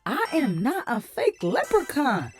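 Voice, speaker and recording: Woman playing a slangy, sassy leprechaun, high voice. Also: wildly swooping pitch, unhurried, faint music behind, full character voice. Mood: indignant. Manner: slangy, indignant. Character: sassy leprechaun sports fan with no Irish accent. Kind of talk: scripted comedy skit.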